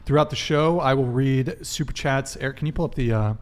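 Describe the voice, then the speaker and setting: A man's voice: medium pitch, fairly quick. A man hosting a live talk podcast, casually addressing his viewers. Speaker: podcast host.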